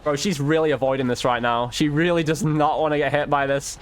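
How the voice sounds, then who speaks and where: A man's voice: on the high side, fairly even intonation, brisk. A man giving casual gameplay commentary, informally thinking aloud as he plays. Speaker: gaming video creator.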